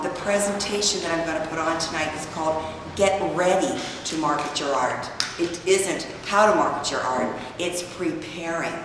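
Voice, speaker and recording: A hoarse woman presenting a business workshop in an open, inviting way, sometimes trailing off and restarting. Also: sits low. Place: event hall in an arts building. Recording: echoey hall, mic far off, background noise.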